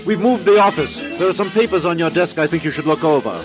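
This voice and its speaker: An actor playing an absurd, officious, faintly menacing clerk in a radio drama, speaking in a formal, clipped, repetitive way. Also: male voice, a little high, quick pace, natural voice. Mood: brisk, officious. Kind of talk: old-time radio drama acting. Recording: old radio broadcast with faint music.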